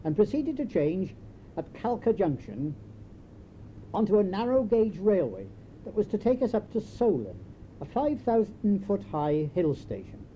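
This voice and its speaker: Older British man, lively intonation, dull tape. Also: sits high, a little slow. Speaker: memoirist reading own writing. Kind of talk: scripted memoir narration. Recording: dull lo-fi home tape, faint noise.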